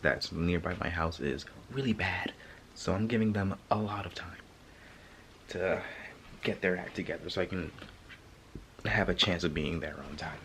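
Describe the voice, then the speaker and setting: A young man with a congested, stuffy voice talks casually to his camera, looping through his story with fillers and restarts. His voice sits fairly low. A faint ambient hum runs underneath. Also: fairly bright tone. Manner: rambling, chatty storytelling with fillers. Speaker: vlogger. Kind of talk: casual vlog talk to camera.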